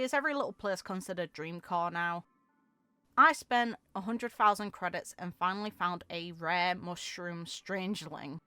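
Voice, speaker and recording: A woman with a bright, forward voice reads game lore aloud in a dry, close-sounding recording. Her delivery is expressive, and she slips into informal commentary as she goes. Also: medium pitch, clean tone, no echo. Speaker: video game content creator.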